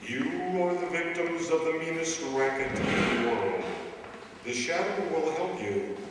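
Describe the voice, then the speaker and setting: Guy playing grave unseen crime fighter, old radio mystery, super slow n measured, distant noisy hall. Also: fairly high pitch, expressive. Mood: solemn, commanding. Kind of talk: stage radio mystery drama. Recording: distant, echoey hall, noisy rehearsal recording.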